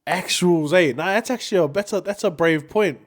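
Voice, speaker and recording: Slangy New Zealand man, higher-pitched voice. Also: fairly quick. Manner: slangy, laid-back, often repeating himself. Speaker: podcast co-host. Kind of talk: casual podcast chat.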